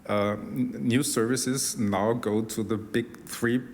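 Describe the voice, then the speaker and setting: A man making an earnest argument as a panel guest, pausing often on uh. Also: mid-range voice, fairly even intonation, slight background noise. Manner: earnest, frequent uh fillers. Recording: long hall reverb.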